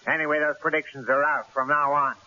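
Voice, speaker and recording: An actor playing a cautious, exasperated newspaper editor in an old-time radio drama, brusque and argumentative. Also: male voice, a little high, steady tone, quick pace, sharp tone. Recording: hissy, dull old radio broadcast recording.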